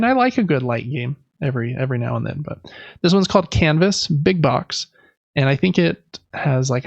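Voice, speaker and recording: A man's voice: fairly high pitch, gravelly, no room sound, highs cut off. A man hosting a casual board game podcast, talking in an informal, conversational, meandering way. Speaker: podcast host.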